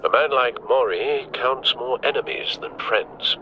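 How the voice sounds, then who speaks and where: An actor playing an older, loyal butler and adviser in a video game, articulate and dry. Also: male voice, medium pitch, a little slow, highs cut off, noisy background, close to an everyday voice. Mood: briefing, watchful.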